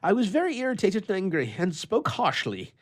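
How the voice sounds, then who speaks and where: Man performing a blustering bachelor, huge pitch swings, slightly husky, flustered, soft-hearted, old-fashioned storybook telling. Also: wildly swooping pitch, a little fast, exaggerated voice. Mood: irritated, grumbling. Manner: theatrical, stammering and blustering. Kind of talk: dramatic storytelling in old-fashioned literary prose.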